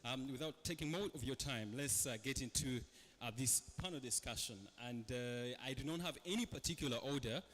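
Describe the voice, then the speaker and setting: A man's voice: medium pitch, brisk, hardly any pauses, fairly bright tone. A man with a Ugandan accent moderating a panel, hesitant with many fillers. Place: large hall. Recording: reverberant hall with faint ambience.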